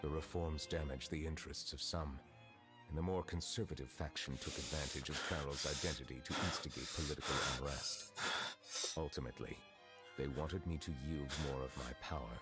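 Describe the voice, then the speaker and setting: An actor playing a grave male judge who is an ancient dragon in human form, speaking in a formal, measured way. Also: a bass voice, steady tone. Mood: solemn. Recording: game audio over loud music.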